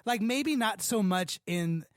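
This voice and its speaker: A man's voice: sits high, expressive, slow, no echo, studio quality. A man from Los Angeles hosting a podcast, talking casually with lots of fillers like "like" and "man". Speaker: podcast host. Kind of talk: casual interview chat.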